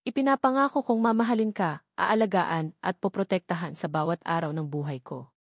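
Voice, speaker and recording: A woman's voice: fairly low pitch, a little fast, no room sound, studio quality. A woman voicing a resolute, devoted young husband in a romance audio story, speaking firmly and with emphasis. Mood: solemn, loving. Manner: firm, emphatic.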